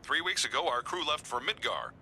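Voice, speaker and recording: An actor playing a grave male TV news anchor in a video game, reading a scripted broadcast in a formal, measured way. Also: mid-range voice, big pitch swings. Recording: loud music behind the voice.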